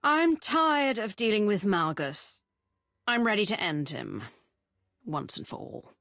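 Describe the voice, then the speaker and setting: A woman's voice: mid-range voice, very animated, unhurried, a bit bright. A woman playing a commanding, decisive Sith agent in a video game, assertive and cool. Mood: resolute.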